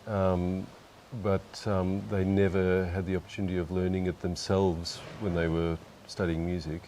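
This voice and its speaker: A man, chatting in an interview in a relaxed, thoughtful way. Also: low-pitched, normal speed, slight background noise. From Australia. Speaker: guest musician. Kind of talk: casual interview chat.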